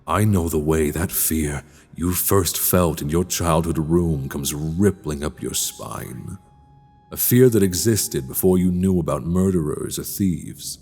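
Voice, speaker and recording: A man playing a sinister horror-story host in an audio drama, narrating in a slow, deliberate, theatrical and menacing way. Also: extremely low pitch, dark tone, husky, heavily acted. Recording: long reverb, music underneath.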